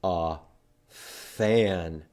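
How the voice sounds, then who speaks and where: A man hosting a children's storytime, reading a picture book and teaching letters in simple, expressive language with questions for young listeners. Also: low-pitched, very slow. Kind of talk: children's storytime read-aloud and letter lesson.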